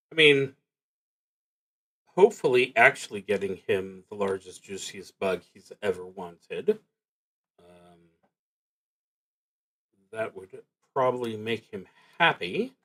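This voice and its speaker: A man casually narrating a solo roleplaying game as a streamer, thinking aloud in a halting way and muttering to himself between announcements. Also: medium pitch, lively intonation, a bit bright.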